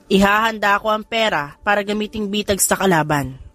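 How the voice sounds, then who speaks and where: Lady narrator as a calm bossy mom giving orders, audiobook drama, bit of music underneath. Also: mid-range voice, a little fast, no room sound, lightly acted. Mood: commanding. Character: composed, commanding mother of skilled grown children. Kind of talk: audiobook character dialogue.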